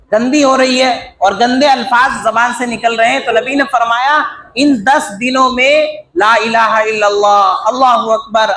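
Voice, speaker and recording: A male preacher with an Urdu accent and a very high, bright voice speaks earnestly, stacking phrases in a steady rhythm. The sound is roomy with echo and a faint hiss of noise behind it. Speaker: Islamic preacher. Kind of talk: religious talk. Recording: echoey room with faint background noise.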